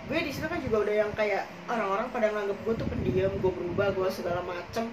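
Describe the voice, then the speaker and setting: A young woman chatting casually, hoarse and coughing, rambling with many fillers as she tells her own experiences. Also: medium pitch, fast. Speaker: podcast co-host telling her own experiences. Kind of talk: casual chat in Jakarta slang. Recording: echoey room, far from the mic, noisy.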